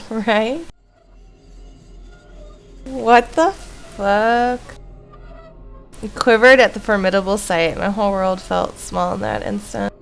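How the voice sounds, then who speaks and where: A woman's voice: mid-range voice, expressive, slow pace, creaky, long pauses, rough recording. A woman streaming a horror visual novel, reading it aloud with dramatic storytelling and irreverent casual asides, music behind her. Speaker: game streamer. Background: faint music.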